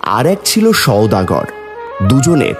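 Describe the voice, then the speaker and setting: A man narrating a scripted children's folk tale with expressive storytelling delivery. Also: mid-range voice, slow, faint music behind. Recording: long hall-like reverb.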